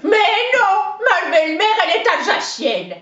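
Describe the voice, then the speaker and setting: A woman's voice: very high voice, big pitch swings, unhurried, fairly bright tone, exaggerated voice. Woman playing a chatty Franc-Comtois countrywoman. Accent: Franc-Comtois (Haut-Doubs). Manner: folksy, rambling, peppered with "hein" and "oh ben". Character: chatty boastful older countrywoman from the mountains. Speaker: interviewee. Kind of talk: comic character interview. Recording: roomy, dull lo-fi sound.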